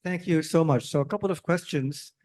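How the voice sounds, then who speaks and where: A man's voice: fairly high pitch, brisk, darkish tone. A man moderating a conference question session, speaking politely and briefly without a script. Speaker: panel moderator.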